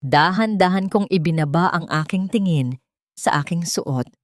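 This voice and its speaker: A woman with a Filipino accent reading a dramatic first-person story in a measured, solemn and emotive way. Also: on the low side, no room sound, studio quality. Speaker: audiobook narrator.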